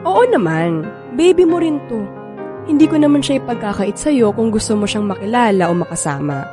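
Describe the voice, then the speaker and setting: A woman acting in a Filipino audio drama as a playful, flirty, clingy expectant mother, teasing and expressive. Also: a little high, brisk, over music. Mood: grateful, tender.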